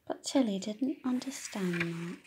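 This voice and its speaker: A woman's voice: medium pitch, takes it slowly, darkish tone, slight background noise. A woman reading a children's story aloud expressively, with engaging, questioning asides like a teacher talking to young listeners. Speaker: audiobook reader for children.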